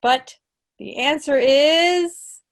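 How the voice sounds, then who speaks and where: A woman's voice: sits high, drawn-out words. An American woman in her thirties hosting a quiz over a home video call, casual and playful like a mock schoolteacher. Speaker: meeting host, quizmaster. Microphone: computer mic on a video call.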